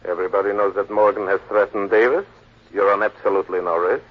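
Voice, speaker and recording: An actor playing a cool, calculating gentleman villain in 1940s radio drama, curt and formal with a husky voice. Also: fairly low pitch, steady tone, quick pace, lightly acted. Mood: cool, menacing. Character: cool, calculating gentleman who hires killers. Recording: dull old 1940s radio recording.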